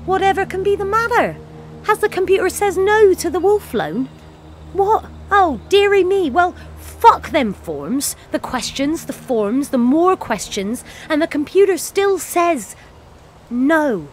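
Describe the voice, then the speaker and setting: A woman's voice: very high voice, big pitch swings, a little slow, slight background noise, exaggerated voice. An actress playing a bitter, defiant working-class cleaner and self-styled wolf woman in an audio play monologue, with an earthy, sweary way of rambling. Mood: indignant.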